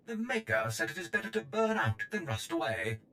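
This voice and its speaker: A man's voice: sits high, big pitch swings, fairly quick, sharp tone, soft background music, exaggerated voice. A man voicing a courteous, philosophical droid servant in a video game, formal and polite. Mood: wry.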